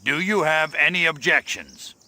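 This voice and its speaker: Sharp, irritable alien invader. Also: male voice, a little high, lively intonation, normal speed. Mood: haughty, formal. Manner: imperious, sarcastic, gruff. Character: small grey alien invader, irritable, arrogant, trigger-happy. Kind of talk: video game voice acting.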